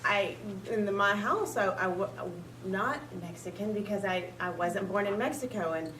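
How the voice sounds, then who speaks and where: A woman's voice: mid-range voice, normal speed, a bit bright, slight background noise. A woman school principal speaking earnestly on a panel, with light laughs. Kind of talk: panel discussion. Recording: echoey room, far from the mic.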